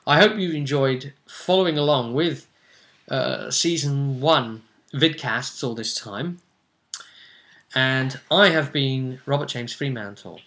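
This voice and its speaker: Man, bright forceful voice, middle pitch, game master, casual campaign recap. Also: takes it slowly. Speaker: game master and vidcast host. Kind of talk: casual recap of a tabletop role-playing campaign.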